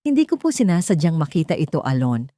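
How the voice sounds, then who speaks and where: A scheming woman played by a female narrator in an audio love drama feigns concern. She speaks politely and hesitantly, in a low, mellow voice. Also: big pitch swings, quick pace, no room sound, clean studio sound. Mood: feigned worry. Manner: hesitant, polite, falsely anxious.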